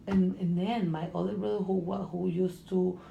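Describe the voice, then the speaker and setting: A middle-aged woman from Honduras with a Spanish accent, speaking English as a second language in casual conversation, halting and often searching for words. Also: on the low side, steady tone, gravelly, highs cut off. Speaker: interviewee. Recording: echoey room with faint noise.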